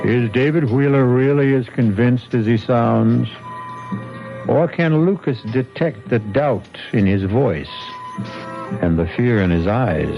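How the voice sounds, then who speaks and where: Music behind, male narrator, slow ominous suspense delivery, old radio broadcast. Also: medium pitch, muffled recording. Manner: ominous, theatrical. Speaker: host and narrator. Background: prominent music.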